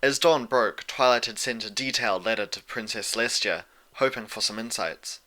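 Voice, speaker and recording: A man's voice: on the low side, normal speed, bright, forceful tone. A man reading scripted fiction as an audiobook narrator.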